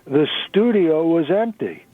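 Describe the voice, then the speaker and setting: A man's voice: a little high, animated, measured pace, slightly rough. Man narrating over narrow-band radio. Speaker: narrator and reader. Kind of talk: dramatic reading of a novel.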